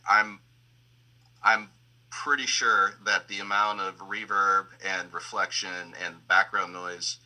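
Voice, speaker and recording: A man with a deep-pitched voice that has a very bright, forceful edge. He explains things casually as a panel guest, informal and quick to quote his own thoughts. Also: poor audio. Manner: informal, self-quoting. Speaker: audio engineer and panel guest.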